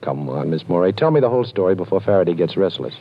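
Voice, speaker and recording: An actor playing a wisecracking, self-assured sleuth in a breezy, slangy 1940s radio detective drama style. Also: male voice, sits low, big pitch swings, fast, a little hiss or hum. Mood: soothing, teasing. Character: wisecracking, self-assured male amateur sleuth and reformed crook. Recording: muffled old-time radio recording.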